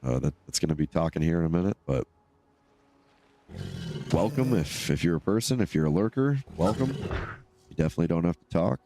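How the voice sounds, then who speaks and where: Very deep-voiced, congested man streaming. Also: lively intonation, fairly quick, pauses often. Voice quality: nasal, stuffed-up. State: congested from allergies. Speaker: live game streamer. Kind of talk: casual solo gameplay commentary.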